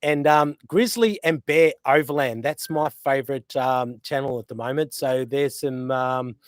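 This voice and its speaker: An Australian man hosting a casual live stream outdoors, rambling with lots of fillers and gentle teasing. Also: medium pitch, measured pace, no echo. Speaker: host. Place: outdoors by a river.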